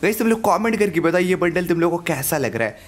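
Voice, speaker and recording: A young man with a sore throat giving excited live commentary over his own mobile battle royale match, hyping up his kills and laughing often, speaking into a headset at home. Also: high-pitched, fast talker, very noisy. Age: young adult. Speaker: gaming YouTuber. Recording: game gunfire behind.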